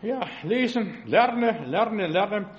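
A man's voice: high voice, expressive, extremely slow, dull audio. A man in his late seventies from the former Soviet Union preaching a sermon in an exhorting, repetitive manner, as a with frequent short affirming tags.

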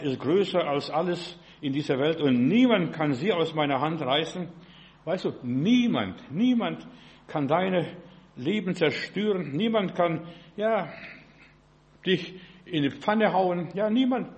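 A man's voice: a little high, lively intonation, unhurried, lo-fi sound. A man in his late seventies from the former Soviet Union preaching a sermon in an exhorting, repetitive manner, as a with frequent short affirming tags.

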